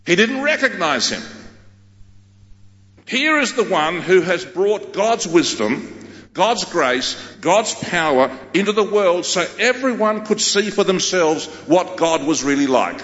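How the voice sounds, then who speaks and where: Animated preacher in an echoey hall. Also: male voice, high-pitched, measured pace. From Australia. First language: Greek. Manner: emphatic preaching with repeated phrases. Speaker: pastor. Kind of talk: sermon. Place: large church hall. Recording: echoey hall, dull sound.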